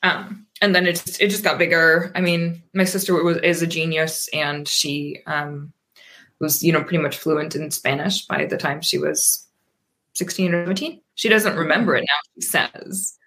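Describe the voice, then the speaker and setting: A woman's voice: low-pitched, fairly even intonation, normal speed, fairly bright tone. Thoughtful rambling woman in her thirties. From Pennsylvania, USA. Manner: thoughtful, rambling, frequent ums and restarts. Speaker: guest. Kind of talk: casual interview conversation.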